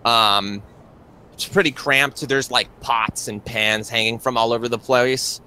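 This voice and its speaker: A man working as game master, improvising narration for a horror roleplay in a halting way, often circling back over his phrases. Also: medium pitch, steady tone, sharp tone, a little hiss or hum.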